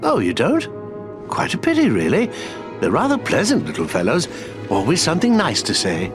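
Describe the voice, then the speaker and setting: An actor playing an eccentric, kindly old wizard who talks to animals, whimsical and rambling in a video game cutscene. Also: male voice, on the high side, huge pitch swings, normal speed, over music. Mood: delighted, playful.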